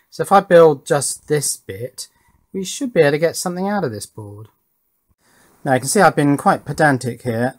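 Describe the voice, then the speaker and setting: A man chatting casually as he explains his circuit board build at a home workbench, with wry asides along the way. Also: medium pitch. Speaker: electronics hobbyist presenter.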